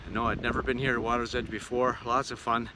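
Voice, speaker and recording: A man's voice: mid-range voice, little pitch movement, fast, background noise. A man who entertains as a touring singer and show host, chatting casually in a folksy way as in a travel vlog or between songs.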